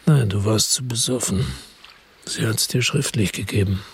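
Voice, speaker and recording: A man's voice: on the low side, moderate pace, gravelly, breathy edge, full character voice. An actor playing a world-weary middle-aged ex-cop who narrates his story in the first person in a radio drama, laconic and reflective.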